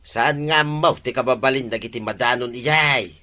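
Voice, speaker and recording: A man's voice: mid-range voice, normal speed, pushed and bright, lightly acted. A man with an Ilocano accent playing a reluctant, fretful older villager with rheumatism in a radio comedy drama. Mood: worried, reluctant.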